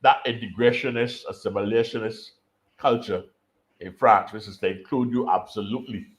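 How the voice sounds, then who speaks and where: Man, low voice, explaining. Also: steady tone. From Barbados. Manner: measured, explanatory, repeats words while thinking. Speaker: invited speaker, political scientist. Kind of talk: conference talk over video call. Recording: dull lo-fi call audio.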